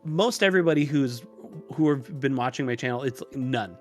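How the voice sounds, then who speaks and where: A man's voice: mid-range voice, moderate pace, darkish tone. Man, mid-range voice, casual interview talk, rambling and hesitant, faint music behind. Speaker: podcast guest who runs a tutorial channel. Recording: music mixed under the voice.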